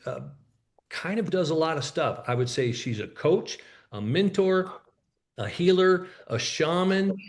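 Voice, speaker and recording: A man hosting a webinar who introduces the guest loosely and hesitantly. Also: mid-range voice. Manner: hesitant, loose, with fillers and restarts. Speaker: webinar host. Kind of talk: casual introduction. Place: in-person event room.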